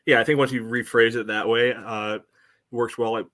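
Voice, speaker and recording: A man co-hosting a sports podcast, giving casual analysis in measured, halting phrases. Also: mid-range voice, level tone, a bit bright.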